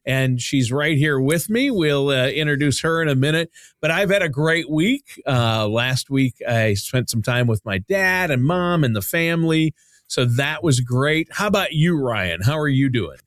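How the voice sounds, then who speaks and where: Man, podcast host, encouraging, short affirmations, mid pitch, dry close sound. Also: lively intonation, normal speed, fairly bright tone, no echo. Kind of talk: podcast hosting.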